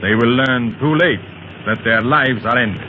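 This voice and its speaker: A scheming gang leader voiced by a man talks with slow, measured menace. His voice sits in an ordinary male range. It comes through a dull, muffled vintage recording with clear hiss. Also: medium pitch, lightly acted. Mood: menacing. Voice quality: slow, deliberate menacing delivery. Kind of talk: 1930s radio acting.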